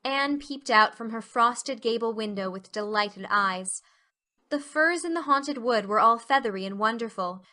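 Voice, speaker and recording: A woman's voice: on the high side, pushed and bright. A woman narrator reading a scripted classic storybook for a dramatized audiobook.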